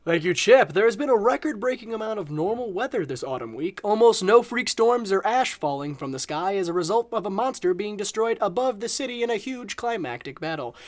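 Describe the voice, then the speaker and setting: A man playing a TV weather forecaster with a measured news delivery in a parody newscast. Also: sits high, animated. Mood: deadpan cheer. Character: upbeat male TV weather forecaster.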